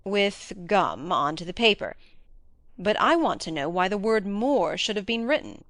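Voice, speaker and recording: A blunt, eager baronet, put on by a woman narrator questions and follows along emphatically. The pitch swings in a lively way, and the audiobook recording is dry and close. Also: unhurried, no room sound. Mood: inquisitive. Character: blunt, inquisitive male baronet, eager to understand. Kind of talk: audiobook character reading.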